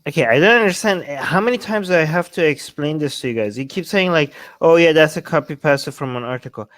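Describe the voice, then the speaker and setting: A man's voice: a little high, animated, fairly quick, fairly bright tone, dry recording. A man co-hosting a live discussion, giving opinions emphatically, repeating himself and checking for agreement. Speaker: talk show co-host.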